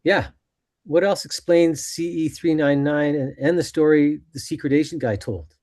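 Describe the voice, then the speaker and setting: A man's voice: on the high side, normal speed, darkish tone, lightly acted. A man playing an inquisitive, hard-to-satisfy audience member at a screenplay table read. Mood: questioning.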